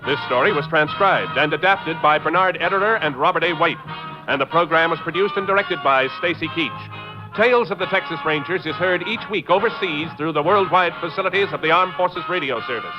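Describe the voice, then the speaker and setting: A man's voice: fairly high pitch, fairly quick. A man announcing in a formal, scripted 1950s radio style. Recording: dull 1950s radio recording. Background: music.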